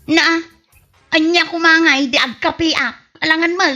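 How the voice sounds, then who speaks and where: A woman's voice: very high voice, steady tone, moderate pace, sharp tone, soft background music. A woman playing a gossip-loving, defiant village wife in a comedy radio drama, with exaggerated whiny retorts, on muffled audio.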